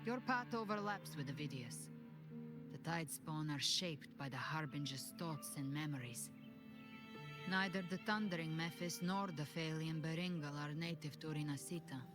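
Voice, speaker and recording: A grave, knowing advisor in a game cutscene who explains things in a deliberate, serious way. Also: mid-range voice, close to an everyday voice. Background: game music.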